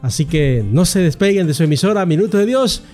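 Fairly high-voiced radio host over guitar. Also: male voice, animated, brisk. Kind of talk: radio presenting, introducing a speaker. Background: soft guitar music.

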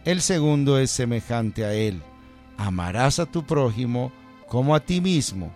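A man, a priest, gently interviewing a guest about her faith and reading the day's Gospel solemnly. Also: medium pitch, big pitch swings, unhurried, faint music behind. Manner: gentle, affirming, reads scripture solemnly. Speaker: priest hosting.

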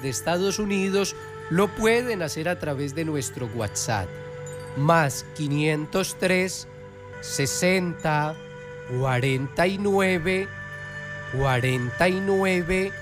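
A man hosting a spiritual healer's radio program, preaching a promotional pitch to listeners over music. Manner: preaching, slow repeated recital of phone numbers.

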